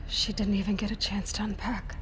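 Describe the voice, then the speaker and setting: An actress playing an earnest, searching young adventurer in a video game, speaking in a hushed, questioning way. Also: female voice, medium pitch, level tone, a little fast, background noise, close to an everyday voice. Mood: subdued wonder. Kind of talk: scripted game dialogue. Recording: music behind the voice. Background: music.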